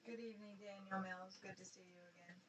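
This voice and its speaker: A woman chats casually as co-host of a livestream. Her voice sits at a middling pitch and comes from some distance off the microphone, with clear noise in the background. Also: fairly even intonation, quick pace. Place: inside an RV.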